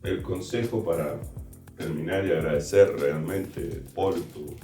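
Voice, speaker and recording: A male podcast host speaks conversationally in a low, dark voice. He sounds set back from the microphone in an echoey room. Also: fairly even intonation, unhurried. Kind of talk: conversational interviewing. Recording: distant mic, roomy echo, faint music.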